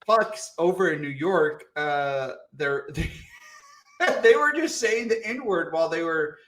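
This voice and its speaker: Higher-voiced man in excitable podcast banter. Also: takes it slowly, poor audio. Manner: crude, swearing, excitable. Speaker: podcast co-host. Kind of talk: casual comedic banter.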